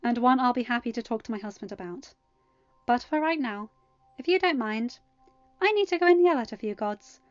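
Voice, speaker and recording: A woman's voice: fairly high pitch, expressive, a little fast, no room sound, highs cut off, faint music behind, voice pushed up, close to an everyday voice. A woman voicing an imperious, protective goddess mother in a storybook, speaking with emphatic, threatening formality. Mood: gracious.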